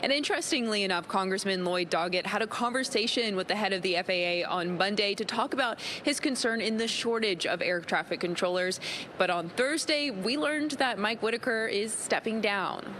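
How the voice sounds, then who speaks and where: A woman's voice: mid-range voice, normal speed, fairly bright tone. A woman TV reporter delivering a scripted live news report. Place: live on location at an airport. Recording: clear background noise, on location.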